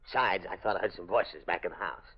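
A man's voice: low-pitched, fast, slightly rough. A middle-aged man acting a weary, reluctant father in a 1940s radio mystery. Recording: dull old radio broadcast recording.

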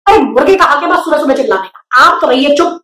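Woman as grumpy goose lady, scolding, bossy, high, echoey. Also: animated, fast talker, fairly bright tone.

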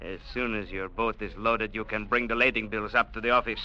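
An actor playing a domineering, sarcastic colonial trading captain in an old radio drama. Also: male voice, lowish voice, little pitch movement, brisk, sharp tone. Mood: bullying, irritated. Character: sneering, bullying colonial trading agent captain, a man. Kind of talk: 1940s-50s radio drama acting. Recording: muffled, hissy old radio broadcast.

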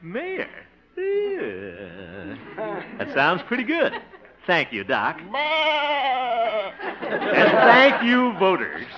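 An actor playing a blustery, easily flustered middle-aged mayoral candidate, pompous and exasperated, in 1950s radio comedy style. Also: male voice, high-pitched, wildly swooping pitch, extremely slow, pauses often, full character voice. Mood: flattered, sheepish. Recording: noisy, muffled old radio broadcast.

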